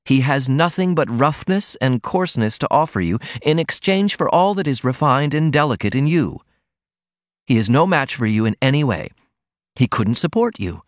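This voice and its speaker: A man reading aloud, voicing a proper middle-aged mother who speaks in measured, disapproving tones. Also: mid-range voice, lively intonation, no echo, studio quality, pitched above the performer's own voice, close to an everyday voice. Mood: stern.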